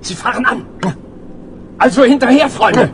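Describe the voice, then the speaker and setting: High-voiced, genial police inspector. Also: male voice, a little slow. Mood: alert. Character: genial male police inspector, amused and alert. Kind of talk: German children's audio play acting. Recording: noisy old vinyl audio play.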